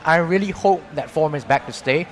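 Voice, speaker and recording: A man doing color commentary for an esports broadcast, explaining plays in a measured way. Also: on the high side. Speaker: esports co-caster.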